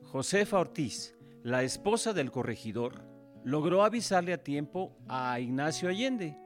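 An actor playing a curious, eager history learner, casual and inquisitive in scripted radio dialogue. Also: male voice, on the high side, big pitch swings, a little slow, faint music behind.

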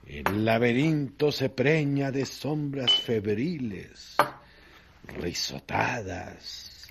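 A man narrating a radio drama in a solemn, deliberate literary style with dramatic pauses. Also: medium pitch, animated, creaky, very noisy.

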